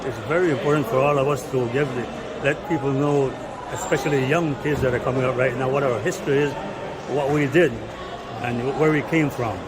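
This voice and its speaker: A man's voice: mid-range voice, fairly even intonation, brisk, over a noisy crowd, very noisy. An older man from Panama, a retired canal manager, speaking plainly and in a friendly way.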